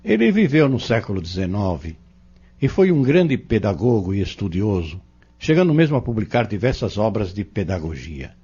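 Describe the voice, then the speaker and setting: A man's voice: on the low side, big pitch swings, moderate pace, dark tone, husky, full character voice. A man playing a learned, patient spiritist mentor in an audio novel, speaking formally and didactically with a Brazilian accent. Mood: earnest, explanatory. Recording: dull lo-fi audio drama recording.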